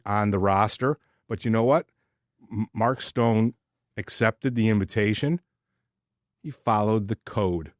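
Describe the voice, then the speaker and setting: A man hosting a casual sports podcast, speaking emphatically with deliberate pauses. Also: on the low side, no room sound, clean studio sound. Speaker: podcast host. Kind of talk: casual sports podcast talk and personal ad read.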